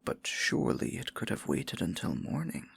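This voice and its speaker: A man playing a tender, possessive, courtly dark sorcerer in an intimate fantasy ASMR roleplay, speaking formally in a breathy, gravelly near-whisper. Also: fairly low pitch, very animated, full character voice. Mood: teasing concern. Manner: formal, archaic endearments, slow deliberate phrasing.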